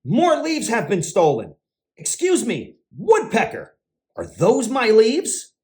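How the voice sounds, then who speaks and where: A man voicing an anxious, excitable squirrel in a children's storybook, fretful and given to dramatic exclamations. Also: high-pitched, slow, heavily acted. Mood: alarmed. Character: anxious, excitable squirrel fretting over stolen leaves. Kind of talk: children's picture book read-aloud.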